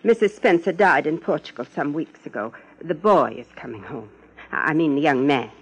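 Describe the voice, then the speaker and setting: An actress playing a prim, careful law-office secretary in a 1950s radio drama, speaking formally with a husky voice. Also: female voice, low-pitched, expressive, moderate pace, rough recording. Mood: formal, informative.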